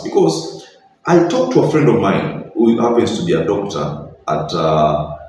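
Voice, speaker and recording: A man's voice: fairly low pitch, a little mellow, slight background noise. A young man with a Kenyan accent giving earnest, opinionated political commentary to camera from a small bedroom, slipping in Swahili phrases. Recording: very echoey room, slightly distant mic.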